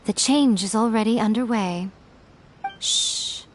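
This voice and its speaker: A woman voicing a sly, playful female schemer in a video game who teases in an unhurried way and chuckles often. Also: fairly high pitch, a little hiss or hum.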